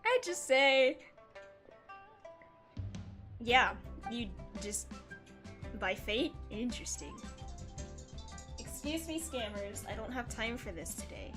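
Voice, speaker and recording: A woman with a fairly high, very lively voice streams a game in a casual, playful way. She takes her time between remarks, chatting and reacting to what is on screen. Her pitch moves around freely as she goes. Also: slow pace, long pauses, over music. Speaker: livestream host. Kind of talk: casual gaming livestream commentary, reading game dialogue aloud.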